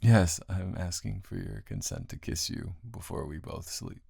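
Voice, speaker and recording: A man improvising an intimate boyfriend roleplay as a teasing, affectionate roommate, talking in a casual, halting way with a low, gravelly voice. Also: sing-song, mellow, dry recording, clean studio sound. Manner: casual, halting, unscripted delivery. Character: grumpy, teasing, affectionate male roommate turned lover. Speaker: audio roleplay performer.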